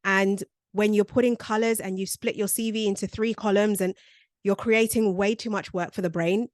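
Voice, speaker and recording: A woman's voice: mid-range voice, steady tone, normal speed, darkish tone, no room sound, studio quality. A woman, presenting a career workshop over a video call in a conversational way with frequent ums. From born in Nigeria, raised in South London.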